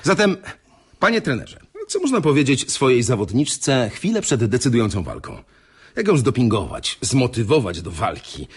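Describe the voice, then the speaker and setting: An actor playing a sly, smarmy reporter in a radio drama, with ingratiating, mockingly polite delivery. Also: male voice, medium pitch, very animated, normal speed, breathy edge, full character voice. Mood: eager, ingratiating. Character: smarmy, sly male tabloid reporter, gloating. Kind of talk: Polish radio drama acting.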